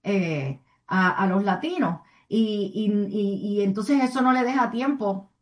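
A woman speaking as a community advocate in an interview, earnest and somewhat halting, often adding tag questions. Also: medium pitch. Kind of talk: interview answers, civic advocacy.

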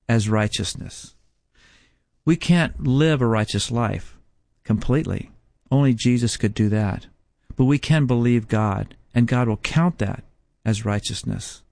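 A man in his fifties preaching a sermon in a measured, emphatic way, leaning on repeated rhetorical questions. His voice sits at an ordinary middle pitch. The sound is dry and close, with no room echo. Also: vocal fry, a little mellow. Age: 50s. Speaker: Bible teacher.

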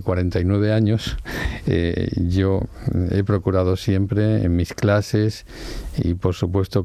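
An older man from Spain whose first language is Valencian speaks in a low, warm tone. He answers at a measured pace, pausing with fillers and posing rhetorical questions. The sound is dry and close. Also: dry recording. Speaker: interviewee, law professor and judge. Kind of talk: reflective interview answers.

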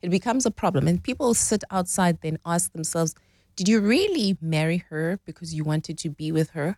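Low, mellow-voiced woman in her forties. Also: expressive, moderate pace, dry recording. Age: 40s. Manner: thoughtful, explanatory, often checks with "right?". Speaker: relationship expert guest. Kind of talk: conversational podcast interview.

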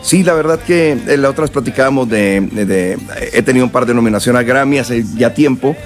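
Relaxed man, rambling. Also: mid-range voice, brisk, faint music behind. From northern Mexico and South Texas. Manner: relaxed, rambling, frequent fillers and restarts. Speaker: musician guest. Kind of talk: casual interview chat.

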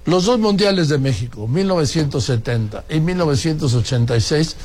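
Older Mexican man, slightly high-pitched. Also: expressive, brisk, darkish tone. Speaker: interviewer, host. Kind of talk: conversational interview.

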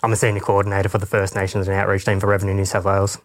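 Coordinator, male, Western Sydney: flat delivery, measured and plain. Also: low voice, no echo, studio quality. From Western Sydney, Australia. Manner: steady, measured, with some fillers. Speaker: senior coordinator. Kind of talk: radio interview answers.

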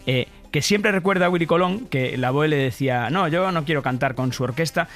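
A man's voice: fairly high pitch, a little fast, fairly bright tone. A man speaking as a guest commentator, telling stories casually and effusively, piling up adjectives. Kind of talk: casual, enthusiastic storytelling in conversation. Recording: music playing behind the voice. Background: background music.

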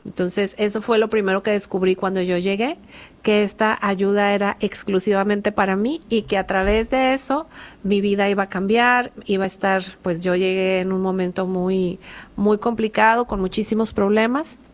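A woman talks through her own family experience for a discussion panel. She speaks earnestly and haltingly, restarting phrases and filling pauses, at an ordinary middle pitch. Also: fairly even intonation. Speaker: Al-Anon member sharing experience.

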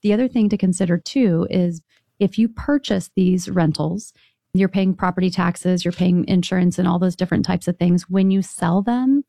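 A woman's voice: fairly low pitch, gravelly, warm tone, dry recording. A middle-aged woman, a financial advisor, explaining retirement money matters in a conversational way on a call-in show. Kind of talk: radio call-in advice chat.

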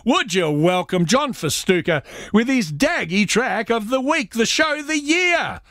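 A man's voice: very high-pitched, big pitch swings, unhurried, a bit bright, dry recording, studio quality. A man hosting a casual radio chat, playful and teasing, breaking into bits of song. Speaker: radio host.

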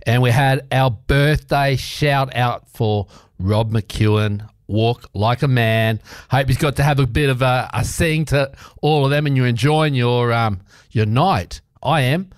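An Australian man hosts a radio show in a relaxed, rambling way, filling pauses with "um" and "uh". His voice sits at an ordinary pitch. The sound is dry and close. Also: smooth, no echo. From South Australia. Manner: rambling, chatty, with many fillers. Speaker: radio host. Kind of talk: casual community radio chat.

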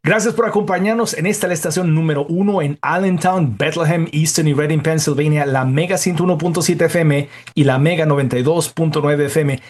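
A man's voice: a little high, a little fast, few pauses. A man hosting a radio interview show as a doctor, speaking in a formal, courteous and articulate way. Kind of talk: scripted introduction and radio interview.

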